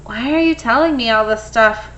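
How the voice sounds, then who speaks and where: A woman's voice: a little high, moderate pace, fairly bright tone, muffled recording, lightly acted. A woman voicing a reluctant, wary buddy character from a children's picture book.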